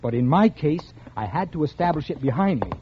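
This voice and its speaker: An actor playing a calculating murderer and writer, with a coolly logical manner in the style of old-time American radio crime drama. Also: male voice, on the high side, animated, slight background noise, close to an everyday voice. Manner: deliberate, coolly logical in narration, casual with others. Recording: muffled old radio recording.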